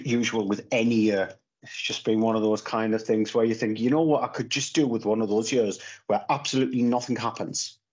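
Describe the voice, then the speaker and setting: A man's voice: lowish voice, breathy edge. A man, chatting casually on a podcast and rambling through restarts and fillers, recorded from his phone on a video call at home. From Northeast England. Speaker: podcast co-host. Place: small room at home, indoors. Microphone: phone camera on a video call. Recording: slightly dull lo-fi call audio.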